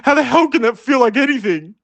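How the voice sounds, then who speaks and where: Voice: high-pitched, lively intonation, quick pace, gravelly, a bit bright, no echo, dull audio, voice pushed up, heavily acted. A storyteller voicing a frantic, foul-mouthed male chicken who shrieks and swears in panic.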